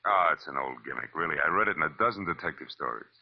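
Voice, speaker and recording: An American actor playing a wry, world-weary private detective in 1940s radio style, clipped, slangy and deadpan. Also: male voice, rumbling low, fast talker, creaky, husky, heavily acted. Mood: dry, amused. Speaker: lead actor and narrator of a radio detective series. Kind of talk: 1940s radio acting, hard-boiled first-person narration. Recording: dull vintage radio recording. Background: dramatic radio music.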